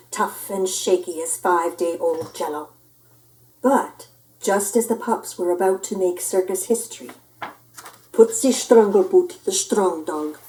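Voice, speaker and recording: A woman reading a children's picture book aloud in an expressive, theatrical, playful way. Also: medium pitch, rough recording. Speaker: storyteller.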